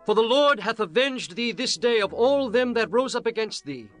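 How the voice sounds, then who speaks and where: A fervent battle messenger addressing royalty. He declaims formally in a high, ringing voice, as in scripture drama, over faint music. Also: lively intonation, normal speed, fairly bright tone. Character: fervent male messenger bringing battle tidings to a king.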